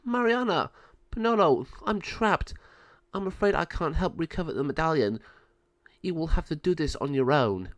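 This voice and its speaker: A man's voice: on the high side, lively intonation, moderate pace, dry recording, pitched above the performer's own voice, natural voice. A man voicing an earnest, wise sorceress, a big sister and heroine in a storybook, speaking emphatically. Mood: urgent.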